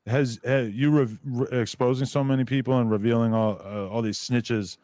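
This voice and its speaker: A man's voice: medium pitch, steady tone, moderate pace, dark tone, dry recording, studio quality. A man hosting a podcast, interviewing in a casual, reflective, informal way with some mild swearing.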